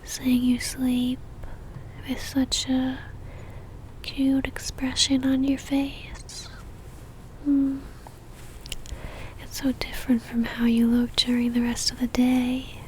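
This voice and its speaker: A woman plays a lovestruck female vampire stalker in an ASMR roleplay, whispering softly and breathily right up at the mic. Her voice is high and barely rises or falls, moving slowly and intimately as she coaxes with possessive tenderness. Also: monotone, a little hiss or hum, rough recording. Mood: tender, lovestruck. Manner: slow, intimate, coaxing. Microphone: close ASMR microphone.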